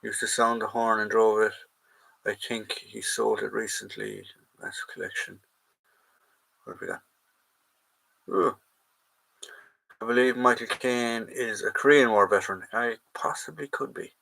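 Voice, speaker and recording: A man's voice: fairly low pitch, fairly even intonation, measured pace, vocal fry, pauses often, bright, forceful tone, poor audio. An Irish man hosting a live chat, casually reading out and answering viewer comments, trailing off and restarting.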